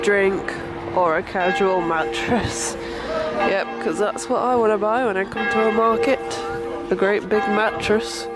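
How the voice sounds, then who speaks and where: A woman's voice: medium pitch, rough recording. A young woman, chatting casually in a holiday vlog with dry, wry humour. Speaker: vlogger. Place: on the move, outdoors and in a car while on holiday.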